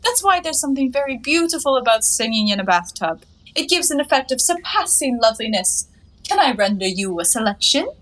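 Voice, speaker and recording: Casting: high-pitched young woman, flippant and teasing, lofty airs, twenties stage-comedy delivery. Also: big pitch swings, fairly quick, a bit bright. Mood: breezy, teasing. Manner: airy, teasing, mock-grand phrasing. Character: playful, cheeky, carefree young woman lounging in her bath. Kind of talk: theatrical 1920s stage comedy acting.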